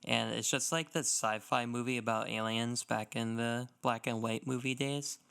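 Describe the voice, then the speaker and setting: A man's voice: mid-range voice, fairly even intonation, moderate pace, vocal fry, fairly bright tone, smooth. A man co-hosting a podcast in casual chat, easygoing and agreeable. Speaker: podcast co-host.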